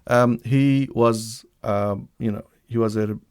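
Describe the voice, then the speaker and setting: A man from Bangladesh hosting a live-streamed talk, speaking in a hesitant way with many pauses and restarts. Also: medium pitch, steady tone, a little mellow, smooth. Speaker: livestream host. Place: home setup, living in the UK.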